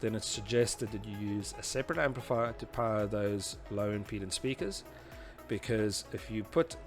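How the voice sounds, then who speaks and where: A man in his forties giving an informal technical tutorial in a halting, explanatory way. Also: on the low side. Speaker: presenter teaching audio engineering. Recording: music behind the voice. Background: background music.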